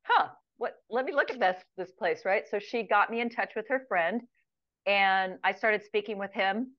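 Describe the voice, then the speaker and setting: A woman in her sixties from the United States, a retired guest chatting in a relaxed, storytelling way with lots of ums and restarts, talking over a video call from her home. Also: medium pitch, moderate pace, no echo. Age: 60s. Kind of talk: casual interview chat. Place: home room with plants, indoors. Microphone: video call webcam. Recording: muffled video call audio.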